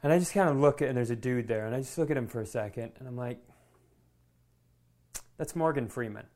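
A man co-hosting a comedy podcast, telling anecdotes casually with animated, self-deprecating delivery and some swearing. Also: mid-range voice, a little fast. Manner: animated storytelling with profanity.

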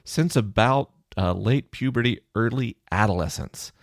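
A middle-aged man with a low, chesty voice, hosting a podcast and casually explaining research in answer to a listener question. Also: a little slow, vocal fry, no room sound, studio quality. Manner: conversational, thinking aloud with fillers.

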